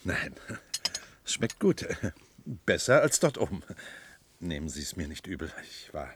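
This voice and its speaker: An actor in a German radio play, playing a touchy, brooding stage performer who speaks haltingly and cryptically. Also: male voice, deep voice, very animated, noisy background, full character voice. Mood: apologetic, halting. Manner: halting, cryptic, prone to outbursts. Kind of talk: German radio drama acting.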